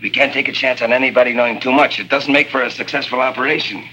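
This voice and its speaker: An actor playing an earnest, cautious miner who runs an underground operation, formal and measured, in an early 1950s spy drama. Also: male voice, medium pitch, fairly even intonation, bright, forceful tone, highs cut off. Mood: apologetic. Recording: noisy vintage 1950s soundtrack.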